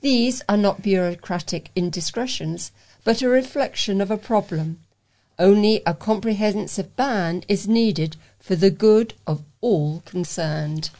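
British woman reading aloud, medium-pitched voice. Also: a little slow, dark tone. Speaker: volunteer newspaper reader. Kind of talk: reading letters aloud for a talking newspaper.

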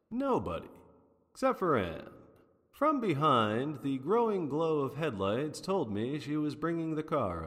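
A man's voice: a little high, fairly bright tone, full character voice. A man playing a wry, unflappable private detective in a 1940s noir radio drama, with a theatrical, wordy manner. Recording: long reverberant hall sound.

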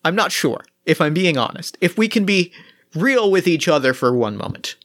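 A man voicing a male android test pilot who speaks in a halting, measured and oddly formal way. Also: fairly high pitch, big pitch swings, fairly bright tone, pitched above the performer's own voice. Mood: earnest.

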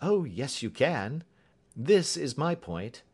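A man playing a calm, dryly superior detective in an audiobook, speaking with deliberate assurance. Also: medium pitch, breathy edge, voice pushed up, full character voice. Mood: dryly confident. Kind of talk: audiobook character acting.

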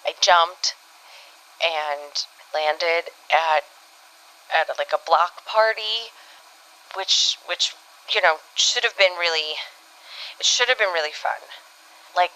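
A woman playing a shaken time agent, giving a hesitant, halting monologue in an improvised audio drama. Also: deep voice, pushed and bright, clear, resonant tone, heavily acted, rough recording. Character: shaken female time agent recounting a mission.

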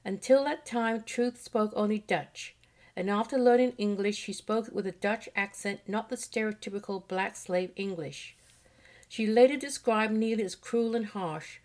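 A woman reading out a history lesson in a steady, measured voice. Her pitch sits in an ordinary middle range, and she keeps an even, deliberate pace. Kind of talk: read-aloud history lesson.

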